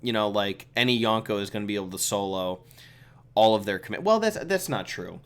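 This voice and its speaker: A man who is a little sick hosting a relaxed, chatty solo review, giving his opinions with lots of fillers. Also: fairly low pitch, a little fast. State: sick with a cold. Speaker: video host reviewing a comic chapter. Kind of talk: casual solo commentary.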